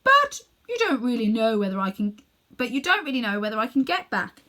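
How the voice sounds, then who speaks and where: A woman's voice: a little high, sing-song, a little fast, sharp tone. A woman voicing a plucky, indignant young schoolboy in a children's storybook, blunt, accusing and emphatic. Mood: worried.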